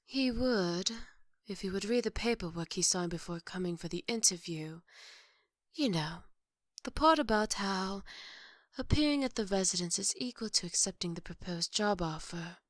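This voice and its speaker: A woman playing a composed, formal maid to a vampire, polite and gently teasing, in an ASMR roleplay. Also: mid-range voice, lively intonation, no room sound, studio quality, lightly acted. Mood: dry, matter-of-fact. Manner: polite, measured, wry.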